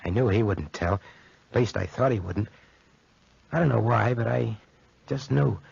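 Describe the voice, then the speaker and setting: A man's voice: on the low side, moderate pace, gravelly, a little mellow, slightly rough, no echo, full character voice. An actor playing a cynical, callous young schemer in a 1940s radio drama, with slangy American tough-guy talk. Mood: brooding narration. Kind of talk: 1940s radio drama acting with first-person narration. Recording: muffled, band-limited 1940s radio recording.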